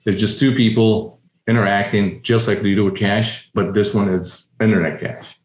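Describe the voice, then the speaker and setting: A man from Egypt, a company founder, answering interview questions in a casual, relaxed way. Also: fairly low pitch, moderate pace, reverberant.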